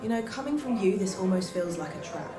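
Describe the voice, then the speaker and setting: A woman's voice: medium pitch, animated, fairly quick, echoey room, natural voice. A woman playing a guarded, wary younger woman in a video game cutscene, speaking in a hesitant, wry way. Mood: guarded, moved.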